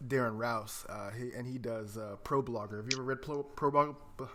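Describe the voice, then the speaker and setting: A man's voice: medium pitch, steady tone, fairly quick, a crowd in the background. A man hosting a podcast in a casual, chatty, conversational way. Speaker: podcast host.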